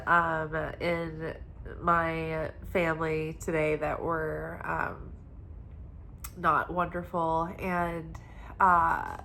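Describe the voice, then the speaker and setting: A woman giving a weekly tarot reading in a soft, meandering, spiritual way, her voice stuffed up and congested from crying. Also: sits low, a little hiss or hum. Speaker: tarot reader.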